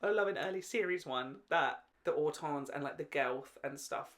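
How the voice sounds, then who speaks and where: Chatty co-host for a fan podcast: medium pitch, animated, informal, freely sweary. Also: moderate pace. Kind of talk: casual fan chat about television.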